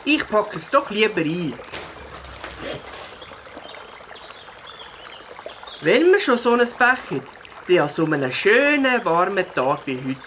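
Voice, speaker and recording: An eager little beaver biker from a children's audio play, acted by a woman. The delivery is expressive and very animated, with a Swiss German accent. Faint outdoor ambience and birdsong sit behind the voice. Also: mid-range voice, moderate pace, a little hiss or hum. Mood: resigned. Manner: expressive, talking to self. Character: plucky little beaver, keen young mountain biker.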